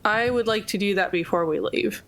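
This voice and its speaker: A woman's voice: medium pitch, normal speed, noisy background. A woman chatting casually as a player in a tabletop roleplaying game.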